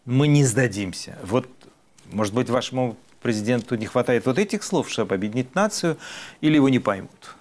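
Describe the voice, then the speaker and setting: A man hosting an interview, asking probing questions in a measured way with hesitant fillers. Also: medium pitch, lively intonation, darkish tone. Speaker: host, interviewer.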